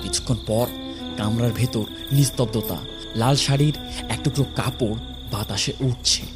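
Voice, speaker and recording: A man narrating a horror story from a script, dramatically, in short phrases with pauses. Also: medium pitch, music in the background.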